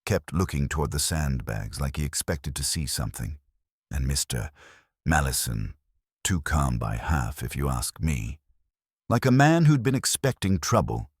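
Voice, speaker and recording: Man as blunt, deep-voiced railway mechanic. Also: big pitch swings, normal speed, slightly rough, no room sound, studio quality, exaggerated voice. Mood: wary, detached. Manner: detached, plain-spoken.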